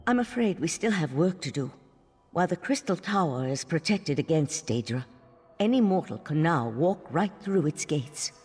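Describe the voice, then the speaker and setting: A woman playing an earnest, resolute elven leader and mentor in a fantasy game, with a husky voice and formal, persuasive delivery. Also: sits low, animated, normal speed, a little mellow, soft background music. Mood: earnest urgency. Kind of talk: scripted fantasy game dialogue. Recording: long hall-like reverb.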